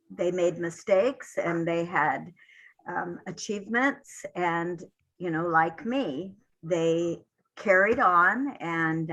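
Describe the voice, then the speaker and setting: An older woman speaking as a panelist, reflecting in a halting way with many pauses. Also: lowish voice, fairly bright tone, highs cut off.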